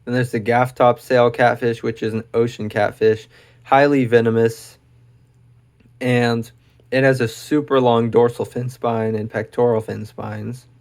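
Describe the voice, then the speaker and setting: Young guy going on about catfish-type stuff, kinda flat monotone, lots of ums, voice wearing out. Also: medium pitch, a little slow. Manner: rambling, many fillers, enthusiastic about animals. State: losing his voice. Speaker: educational video host. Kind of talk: casual unscripted explainer.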